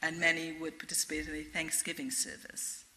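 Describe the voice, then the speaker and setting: A woman's voice: low voice, flat delivery, brisk, bright, forceful tone, slight background noise, low-quality recording. A middle-aged woman with a Trinidadian accent, speaking earnestly as a guest at a public forum and answering questions. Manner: earnest, repeats and restarts phrases. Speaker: guest speaker, interfaith director.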